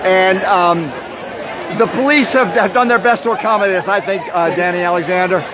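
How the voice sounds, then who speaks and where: A man walking through a campus crowd, giving halting live commentary on a protest with many "uh" fillers. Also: high voice. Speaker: protest livestreamer. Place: outdoors on a busy university campus. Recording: noisy crowd outdoors. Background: loud student crowd.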